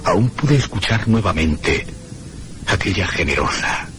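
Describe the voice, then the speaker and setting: An actor playing a haunted man recounting his ordeal in a radio horror drama, with theatrical, deliberate phrasing. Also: low voice, animated, a bit bright, faint music behind. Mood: haunted dread.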